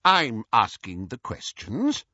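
A man voicing a stern, suspicious older government minister in an audiobook, with measured, insistent questioning. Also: medium pitch, wildly swooping pitch, bright, forceful tone, dry recording, muffled recording, exaggerated voice. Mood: probing, suspicious. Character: stern, probing older male government minister interrogating youngsters. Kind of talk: audiobook character voice.

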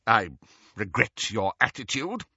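A man performs a stern older government minister for a storybook, pompous and menacing. The growling voice is husky and swings widely in pitch. The audio is slightly dull. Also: sing-song, unhurried, bright, forceful tone, no room sound, full character voice. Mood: stiff, regretful.

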